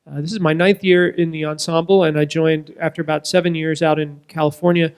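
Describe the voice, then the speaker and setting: Quick, higher-voiced man from Kentucky. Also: flat delivery, clean tone. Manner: casual and chatty, with "uh" fillers. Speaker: ensemble singer and former opera soloist. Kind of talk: self-introduction.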